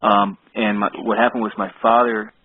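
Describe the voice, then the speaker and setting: A man's voice: lowish voice, flat delivery, moderate pace, slightly rough, no echo. A man in his forties with an American accent, a musician guest telling his story in a friendly, rambling way over the phone. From Soviet Union, raised in Ohio. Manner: rambling, friendly, with light laughs. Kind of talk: phone interview. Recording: tinny, dry phone line.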